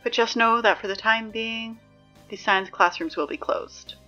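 A woman playing a sarcastic, exasperated high school announcer in a scripted audio drama, dry and emphatic in delivery. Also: a little slow, bright, forceful tone, lo-fi sound, soft background music. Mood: dry, matter-of-fact. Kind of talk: scripted fiction podcast, PA announcements.

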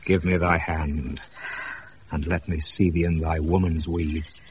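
An actor playing a noble, romantic duke in a British radio Shakespeare, measured and lyrical, on a noisy off-air recording. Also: male voice, extremely low pitch, level tone, dull audio. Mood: stately, tender. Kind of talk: 1980s radio Shakespeare acting.

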